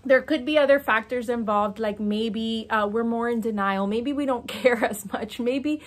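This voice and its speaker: A woman in her forties with a fairly high voice speaks straight to camera from an outdoor patio by the water. Her talk is casual, chatty and frank, and she gestures as she goes. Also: moderate pace. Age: 40s. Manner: animated, talks with her hands, chatty and frank. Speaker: fitness coach and vlogger.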